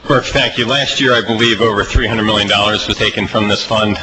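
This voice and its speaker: An American man chairing a legislative hearing, speaking off the cuff in halting, emphatic phrases with repetition. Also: on the low side, fairly even intonation, a crowd in the background. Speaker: committee chairman. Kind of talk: extemporaneous remarks at a legislative budget hearing. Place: legislative committee hearing room. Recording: noisy legislative hearing audio.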